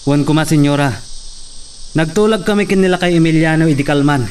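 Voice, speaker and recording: Set back from the mic, a man acting a dutiful henchman speaks in a slightly high voice. He is earnest and watchful, in radio-drama style, with faint insects around. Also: brisk, a little mellow, recorded from a distance, slight background noise, natural voice. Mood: respectful.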